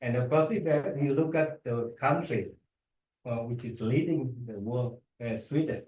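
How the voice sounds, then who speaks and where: A man with a Vietnamese accent is presenting at an academic event. His delivery is halting, with restarts and repeated words. He sounds set back from the microphone in a reverberant room. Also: medium pitch, a little mellow. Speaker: academic researcher, guest speaker. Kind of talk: conference talk and audience Q&A. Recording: echoey room, distant microphone.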